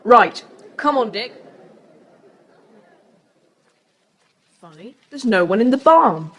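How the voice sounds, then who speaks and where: A woman's voice: a little high, animated, fairly bright tone. A woman playing an eager, bossy schoolboy who takes charge in a children's audio drama. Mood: brisk, eager. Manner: brisk, take-charge. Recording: faintly hissy cassette tape.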